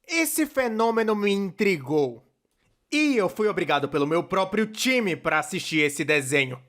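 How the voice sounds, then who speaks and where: A man's voice: high-pitched, sing-song, measured pace, fairly bright tone, exaggerated voice. A man with a Brazilian accent playing a chatty, playfully sarcastic cartoonist narrator in a scripted fan dub. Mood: chatty, enthusiastic. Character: chatty, excitable young male cartoonist narrator.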